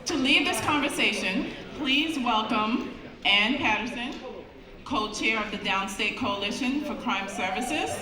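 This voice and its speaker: A woman's voice: fairly high pitch, animated, unhurried. A woman emcee giving a formal, scripted introduction to the next panel. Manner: formal, announcing. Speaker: event emcee. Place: large conference room. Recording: echoey hall, distant mic, crowd noise.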